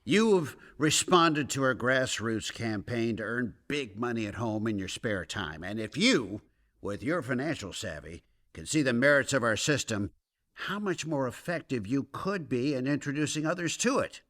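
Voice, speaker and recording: A man acts out a slick company man making his pitch in a radio comedy. His voice is bright and forceful, swinging animatedly as he piles on officious, long-winded sales talk. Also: animated, normal speed, close to an everyday voice. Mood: salesy, persuasive. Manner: salesy, officious, hesitant filler-laden pitch. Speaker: company representative. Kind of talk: comedic radio drama acting.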